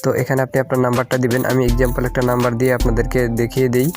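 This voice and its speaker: A man's voice: mid-range voice, completely flat delivery, very fast. A man hosting an informal step-by-step tech tutorial, often checking in with the listener. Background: background music.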